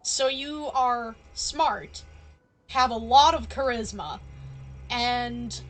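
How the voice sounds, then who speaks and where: High-voiced, unhurried woman streamer. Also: faint music behind, poor audio. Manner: playful, teasing reactions. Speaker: live streamer playing a game. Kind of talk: casual game commentary.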